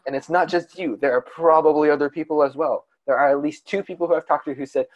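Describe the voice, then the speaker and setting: A young man co-hosting a casual podcast, talking at length in a calm, soothing voice, often restarting his sentences. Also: fairly high pitch, quick pace, lo-fi sound, slight background noise. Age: young adult. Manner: rambling, with many restarts and fillers. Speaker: podcast co-host. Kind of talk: casual chat.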